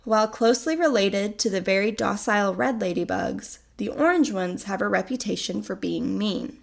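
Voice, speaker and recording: A woman's voice: medium pitch, normal speed, gravelly. A young woman from North America hosting a casual solo podcast, chatty and upbeat, sounding a little sickly from a cold. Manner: chatty and upbeat, explaining facts. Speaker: podcast host.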